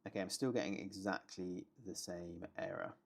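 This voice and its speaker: Male, low creaky voice, musing under his breath as he works through code. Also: steady tone, moderate pace. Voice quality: low, gravelly voice. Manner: muttering, half-finished remarks to himself. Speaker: solo coding streamer. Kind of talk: thinking aloud while coding.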